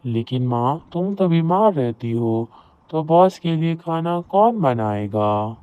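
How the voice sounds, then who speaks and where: A man's voice: fairly high pitch, lively intonation, takes it slowly, clean tone, voice pushed up. A man playing a proud, status-conscious young elder son in a cartoon moral story. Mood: concerned. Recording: roomy echo, very thin phone-like audio.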